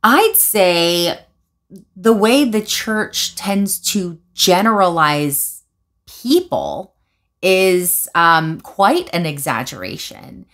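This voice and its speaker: Lower-voiced young woman vlogging from her couch. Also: drawn-out words. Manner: animated, gestures with hands while explaining; thoughtful with frequent fillers and restarts. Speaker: video essayist. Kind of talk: casual commentary vlog. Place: living room, seated on a couch indoors.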